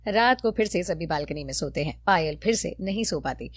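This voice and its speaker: Animated woman narrating a cartoon story. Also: lowish voice, moderate pace, soft background music.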